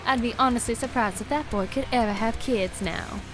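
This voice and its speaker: A woman's voice: on the high side, a little fast, over music, lightly acted. A woman playing a proud, spirited fighter in a visual novel, with a sarcastic, challenging manner. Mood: mocking. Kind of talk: animated visual novel acting.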